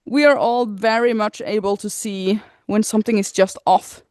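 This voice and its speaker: A woman co-hosting a relaxed podcast conversation, her voice sitting at a middling pitch and recorded dry and close with almost no room around it. She giggles through her sentences and her pitch bounces up suddenly when she gets excited. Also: moderate pace, no echo. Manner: giggly, laughs often while talking. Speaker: podcast co-host. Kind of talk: casual chat.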